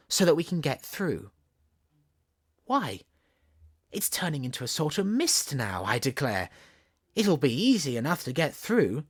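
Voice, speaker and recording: A narrator playing an animated, imaginative little girl. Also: medium pitch, husky, heavily acted. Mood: dreamy, wishful. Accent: Southern English. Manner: playful, wondering, coaxing chatter. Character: imaginative, chatty, bossy little girl, dreamy and playful.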